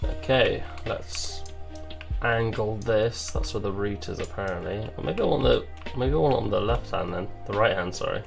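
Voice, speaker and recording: A tired man who has just woken up, talking casually with a gravelly voice and muttering to himself as he works through a game development livestream. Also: on the low side, moderate pace, faint music behind. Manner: muttering to himself, thinking aloud. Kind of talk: casual livestream commentary while working.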